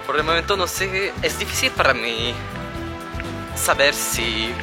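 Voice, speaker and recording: Guy vlogging outdoors, slow and halting, music playing under him. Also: a little high, expressive. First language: French. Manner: halting learner's Spanish with restarts. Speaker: travel vlogger. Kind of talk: casual vlog talk to camera. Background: background music.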